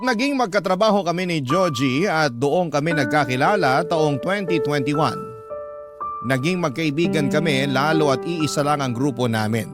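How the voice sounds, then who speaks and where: A Filipino man reading a first-person love story as a radio host, in scripted narration. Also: fairly high pitch. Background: loud music under the voice.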